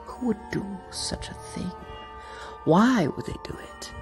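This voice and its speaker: A woman's voice: mid-range voice, big pitch swings, a little slow. A woman game master narrating a tabletop roleplay in a theatrical, suspenseful manner full of rhetorical flourish. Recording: music behind the voice. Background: background music.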